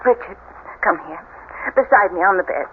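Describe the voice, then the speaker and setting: Tinny, anxious young bride played by an actress. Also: female voice, fairly low pitch, expressive, natural voice. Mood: anxious, pleading. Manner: halting, pleading. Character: anxious, haunted new bride, easily frightened. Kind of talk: 1970s radio drama acting. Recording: tinny, noisy old radio recording.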